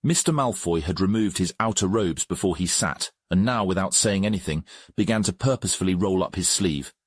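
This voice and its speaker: A man's voice: low voice, expressive, fairly quick, husky, no echo, clean studio sound. A man reading scripted story narration for a comic dub.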